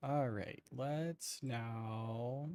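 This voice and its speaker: A woman streamer giving casual, playful gameplay commentary with expressive reactions. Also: a bass voice, drawn-out words. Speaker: gaming streamer.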